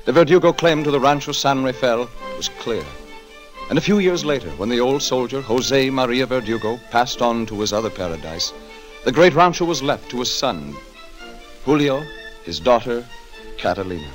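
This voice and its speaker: Animated male old-time radio narrator. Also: medium pitch, moderate pace, soft background music, poor audio. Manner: solemn, declamatory. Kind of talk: dramatic scripted 1940s radio narration. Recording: 1940s radio broadcast recording.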